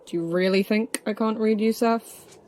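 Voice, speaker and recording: A woman's voice: a little high, steady tone, moderate pace, darkish tone, slight background noise, lightly acted. A woman playing a confident, observant security operative in an audio drama, with a blunt, dry way of talking. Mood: intense, confiding. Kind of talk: naturalistic audio drama acting.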